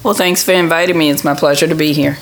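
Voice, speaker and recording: A woman's voice: sits low, steady tone, quick pace. A middle-aged woman, an official being interviewed, giving conversational answers in a plain-spoken, explanatory way. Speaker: government official, interview guest.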